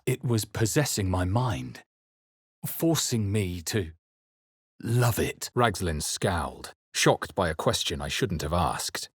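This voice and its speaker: A man playing a grave fantasy game adventurer, with solemn, measured, dramatic delivery as he narrates his journey. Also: sits low, fairly bright tone, husky, dry recording, clean studio sound, full character voice. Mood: solemn, intent. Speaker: video game player-character voice.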